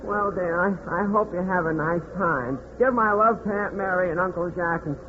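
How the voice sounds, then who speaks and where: An actor playing a timid, henpecked bookkeeper husband in a 1940s radio comedy, meek and halting in his delivery. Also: sits high, close to an everyday voice. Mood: meek, resigned. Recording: noisy, phone-like old 1940s radio recording.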